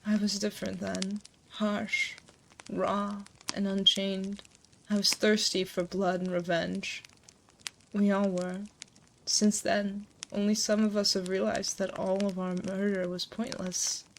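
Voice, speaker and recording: A woman playing an ancient succubus demon queen, motherly and gently teasing, in a hushed, soothing, intimate ASMR roleplay, close to a binaural mic. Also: medium pitch, level tone, slow pace, creaky. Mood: wistful, solemn. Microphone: close binaural 3Dio microphone. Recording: close binaural mic, noticeable background noise.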